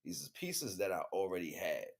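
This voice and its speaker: A man's voice: deep voice, very animated, a little fast. An American man speaking in a casual, rambling livestream monologue, slangy and repetitive, often checking that listeners follow. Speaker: livestream host.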